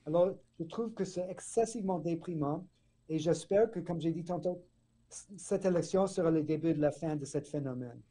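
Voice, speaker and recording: Earnest professor with a very dark voice. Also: male voice, on the high side, normal speed. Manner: earnest, restarts words. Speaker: political science professor. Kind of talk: radio interview guest.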